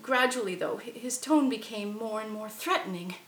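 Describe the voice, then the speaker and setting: A woman playing the ghost of a murdered 1870s wife, telling her story theatrically, swinging from shrieks to hushed confiding. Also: a little high, moderate pace, echoey room, close to an everyday voice. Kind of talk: costumed ghost monologue.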